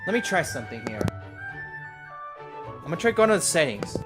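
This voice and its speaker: Excitable streamer talking casual and slangy, lively pitch swings, music behind. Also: a little fast. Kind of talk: casual livestream game commentary.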